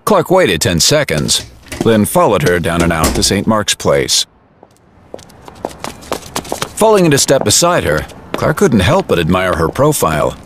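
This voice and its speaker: A man's voice: low voice, wildly swooping pitch, moderate pace, noisy background. A man giving scripted, vivid storytelling narration for an audio drama.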